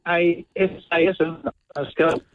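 A male caller phones in to a radio show with a curious, questioning manner. His voice sits high and comes through a tinny telephone line. It is dry, with no room sound. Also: little pitch movement, normal speed, no room sound.

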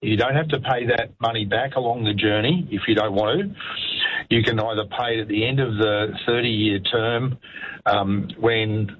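An older man, calling a talk show and explaining something in a halting way, with many pauses. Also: lowish voice, phone-quality sound, slight background noise. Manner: halting, many ums and restarts. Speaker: caller. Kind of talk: casual chat on talk radio. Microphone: phone.

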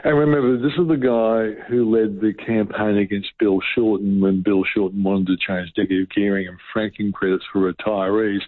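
A man explaining the markets emphatically with worked examples, heard over a narrow, phone-like line. His voice is mid-pitched and dry. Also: level tone, normal speed, few pauses, no room sound. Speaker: finance commentator.

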